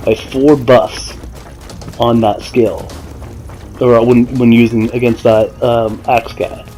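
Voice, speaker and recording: A man's voice: mid-range voice, a little mellow, low-quality recording. A man giving casual gameplay commentary, explaining things in a hesitant, self-correcting way. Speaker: gaming video maker. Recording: voice over faint game music and gunfire.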